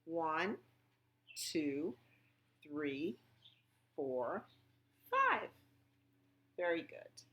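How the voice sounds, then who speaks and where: A woman leads a storytime for small children. Her pitch sits a little low for a woman and her pace is very unhurried. She talks in simple, child-directed phrases, leaving gaps and posing questions as she goes. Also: wildly swooping pitch, a bit bright, muffled recording. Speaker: library storytime host. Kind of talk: children's storytime with songs, rhymes and read-aloud.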